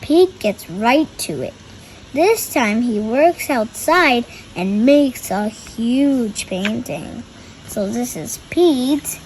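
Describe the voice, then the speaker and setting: A young girl reads a picture book aloud in a high voice. She takes her time and stops to explain the pictures, with faint noise behind her. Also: lively intonation, extremely slow, a little mellow, low-quality recording. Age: child.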